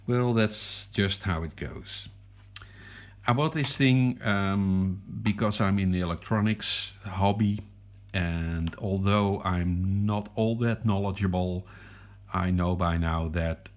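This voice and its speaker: A Dutch man, a non-native English speaker, demonstrating a meter at his desk in a hesitant, halting way. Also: low voice. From Netherlands. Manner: hesitant, halting, with many ums and restarts. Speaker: hobbyist reviewer. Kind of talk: casual product demonstration. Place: desk at home.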